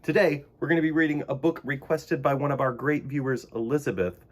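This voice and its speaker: A man hosting a children's storytime, reading and talking in a theatrical, expressive way. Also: medium pitch, a little fast. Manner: theatrical, exclamatory.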